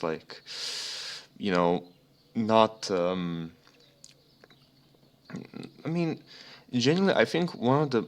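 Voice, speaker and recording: Young male podcast co-host, slightly low pitch, profane, meandering, off-the-cuff delivery. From Serbia. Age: young adult. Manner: rambling, profane. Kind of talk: casual motorsport podcast chat. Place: remote voice chat.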